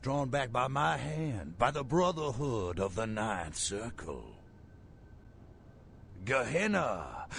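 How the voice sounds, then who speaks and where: Video game villain: fanatical vampire cult preacher, grandiose, slow and deliberate, dramatic rises and drops. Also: male voice, fairly low pitch, a bit bright, slight background noise, exaggerated voice. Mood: ominous, fervent. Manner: grandiose, theatrical preacher's delivery with drawn-out words.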